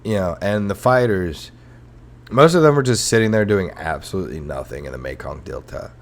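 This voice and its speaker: A man's voice: low-pitched, lively intonation, fairly quick, gravelly. A man hosting a comedic history podcast in a rambling, sarcastic, self-mocking monologue. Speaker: podcast host.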